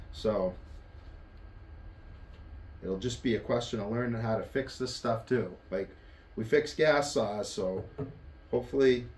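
A middle-aged man with a North American accent giving a casual hands-on tool review in an offhand, plain-spoken way. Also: mid-range voice. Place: indoor wooden workshop. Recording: echoey room, faint background noise.

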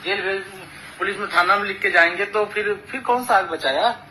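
A man's voice: high voice, brisk, bright, forceful tone. A man in a heated personal phone argument, speaking as an accusing husband who repeats himself. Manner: accusatory, repetitive. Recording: noisy recorded phone call, band-limited.

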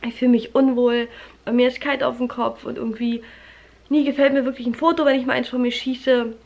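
A woman's voice: on the high side, breathy edge, dull audio. A woman in her thirties with a stuffy nose from a cold, chatting casually to the camera in her living room, full of fillers and friendly asides to viewers. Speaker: family vlogger. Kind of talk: casual vlog chat to camera. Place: living room at home, indoors. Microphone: camera held close at arm's length.

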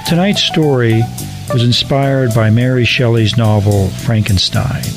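Male host for radio story hour, medium pitch, scripted introductions over music. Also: unhurried.

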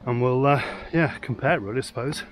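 A man's voice: mid-range voice, brisk. A man in his forties from the UK, a landscape photographer vlogging outdoors in a wooded glen, talking casually with lots of fillers and restarts into a camera held at arm's length. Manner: chatty, rambling with many fillers and restarts. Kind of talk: casual vlog narration. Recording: with music playing underneath.

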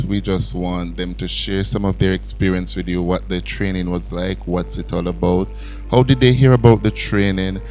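A man's voice: deep voice, a little mellow, low-quality recording. A Jamaican man in his thirties, operator of a training institute, giving an earnest formal address into a handheld mic while seated indoors. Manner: earnest, speech-like. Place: indoor room, seated in armchair.

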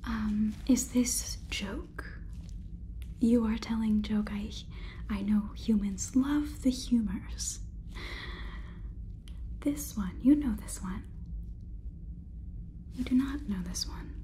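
A woman's voice: on the high side, takes it slowly, long pauses, mellow, slight background noise. A woman in her twenties voicing a polite, faintly condescending female AI robot overlord in a whispered ASMR roleplay, with stilted, soothing phrasing. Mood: quizzing, deadpan. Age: 20s. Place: small room indoors.

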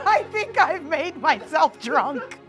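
Very high, giggly woman. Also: sing-song, takes it slowly. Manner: giggly, laughs through her words. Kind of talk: casual comedy chat.

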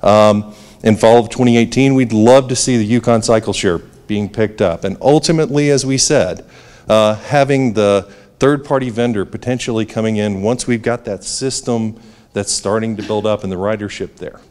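A man's voice: fairly low pitch, normal speed, a little mellow. A man giving a conversational talk with a handheld mic in a library auditorium, speaking to the audience. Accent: New England. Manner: conversational, jokey. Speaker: presenter. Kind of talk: public presentation.

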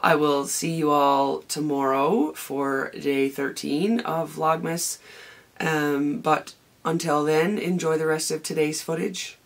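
A woman in her forties with a hoarse, raspy voice, chatting casually in her home vlog as a knitting podcaster, rambling with many ums and restarts. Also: deep voice, fairly even intonation, slow. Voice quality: gravelly. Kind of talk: casual daily vlog chat. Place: home craft room with shelves, indoors.

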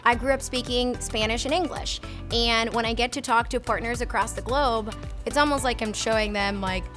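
A woman in her thirties, from Puerto Rico, explains her work as an engineer in an earnest, example-filled way. Her voice sits a little high and is picked up by an earbud headset mic in an indoor lab storeroom. A clear music bed plays underneath. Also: steady tone, normal speed. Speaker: research and development engineer. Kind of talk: interview answers.

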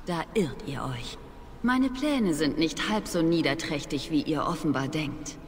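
An actress playing a proud, aloof young witch in a video game, with measured, formal, disdainful speech. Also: low-pitched, lively intonation. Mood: bitter, disdainful. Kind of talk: dramatic video game dialogue. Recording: long reverb, noisy game ambience.

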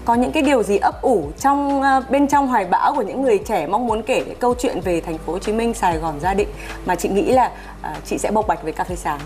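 Woman host in her 30s, mid-pitched, courteous and formal, faint music beneath. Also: a little mellow. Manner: polite, formal, measured questions. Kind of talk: morning TV interview. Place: TV studio set. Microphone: lapel mic.

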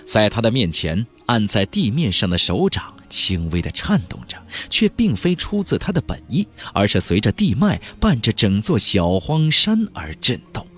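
A man's voice: lowish voice, huge pitch swings, normal speed, few pauses, fairly bright tone. A man narrating a fantasy audiobook in a dramatic, suspenseful, theatrical storytelling style. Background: faint background music.

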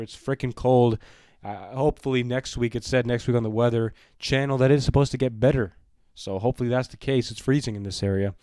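A man's voice: medium pitch, darkish tone, no room sound, studio quality. Young man with a fading voice. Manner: rambling, frequent fillers and throat clearing. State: losing his voice. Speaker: wrestling news vlogger. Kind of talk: casual news vlog.

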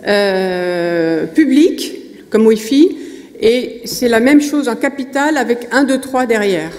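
A woman's voice: a little high, expressive, slow, darkish tone. A middle-aged woman giving a conference talk at a lectern, hesitant with many fillers and restarts. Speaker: conference speaker. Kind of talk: conference presentation. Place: conference hall stage at a lectern. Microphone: lectern microphone. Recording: long hall reverb.

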